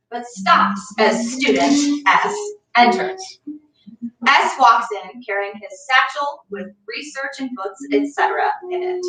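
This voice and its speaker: A woman's voice: sits high, pushed and bright, slight background noise. A woman reading scripted stage directions aloud at a play reading. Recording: echoey room, far from mic.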